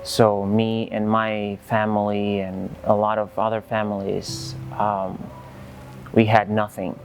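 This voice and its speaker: A young man from Kosovo with an Albanian accent, telling his personal church testimony in an earnest, halting way. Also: fairly low pitch, little pitch movement, slight background noise. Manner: earnest, halting, many fillers and restarts. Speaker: church leader giving testimony. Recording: music playing behind.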